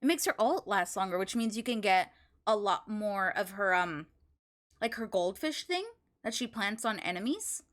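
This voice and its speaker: A woman live streaming a video game, chatting casually with viewers and thinking aloud in an expressive, exclamatory way. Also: mid-range voice, normal speed. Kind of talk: casual chat with stream viewers while gaming.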